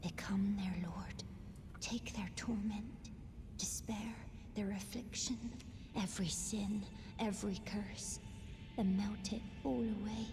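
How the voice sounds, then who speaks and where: A devout young maiden of a dark fantasy game, speaks in long reverb over clear music. Her voice is breathy and whispery, and she delivers each phrase slowly, like a solemn incantation. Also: medium pitch, steady tone. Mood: solemn, fervent. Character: devout young blind maiden of a chaos flame, fervent and solemn.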